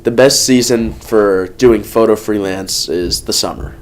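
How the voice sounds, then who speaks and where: A young man from Los Angeles with a fairly low voice talks about freelance photography in an earnest, matter-of-fact way. He is interviewed in a dark studio, with faint music underneath. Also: measured pace, low-quality recording. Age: young adult. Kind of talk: documentary interview.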